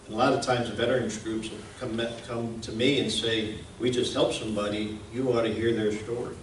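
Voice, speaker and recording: A man giving an informal presentation, speaking in a plain-spoken, folksy, halting way. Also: lowish voice, flat delivery. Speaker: presenter, radio station founder. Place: indoor museum event room. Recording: roomy, distant mic, clear background noise.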